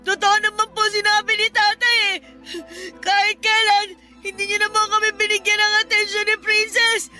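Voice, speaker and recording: A sorrowful young son voiced by a woman in a radio melodrama. The voice is extremely high, pleading and respectful. Music sits clearly behind the lines. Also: monotone, normal speed, pushed and bright, heavily acted. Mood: pleading, upset. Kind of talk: melodramatic radio drama acting.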